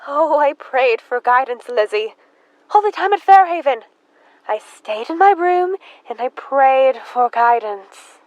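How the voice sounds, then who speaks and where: A young woman acts out an unhinged, devout killer sister in a radio play. Her voice is very high and she speaks slowly, halting and fervent, in a close, dry recording. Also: animated, no room sound, lo-fi sound. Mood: fervent, eerie. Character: Emma, devout, unhinged axe-murdering sister, adult woman. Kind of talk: high school audio drama acting.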